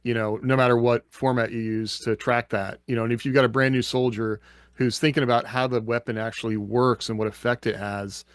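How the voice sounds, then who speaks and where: A man's voice: mid-range voice, flat delivery, a little fast, no echo. Man in casual discussion, dry sound. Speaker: podcast panelist.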